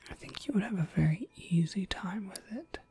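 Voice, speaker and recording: A woman playing a gentle, attentive witch shopkeeper in a whispered ASMR roleplay, breathy, polite and softly reassuring. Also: medium pitch, animated, moderate pace. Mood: helpful, explaining.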